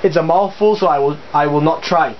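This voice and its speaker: A young man with a Singaporean accent and a slightly high voice talks through his hobby gear in a casual, chatty way, often pausing on fillers as he explains. The recording sounds dull and lo-fi, with a faint hiss underneath. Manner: chatty, hesitant with many fillers. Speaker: hobbyist video host. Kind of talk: casual show-and-tell explanation.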